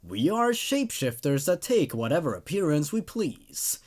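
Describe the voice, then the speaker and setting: Storybook boogeyman, male reader: theatrical salesman monster, animated delivery, raised pitch. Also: normal speed. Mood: proud, salesmanlike. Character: cheesy shapeshifting boogeyman pitching his scare service to parents.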